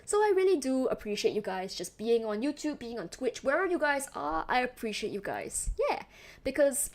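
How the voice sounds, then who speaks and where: A young woman from Singapore with a softened Singaporean accent, chatting casually with her stream chat, rambling with lots of fillers and laughs. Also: high-pitched, very animated, normal speed. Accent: Singaporean, softened toward Western English.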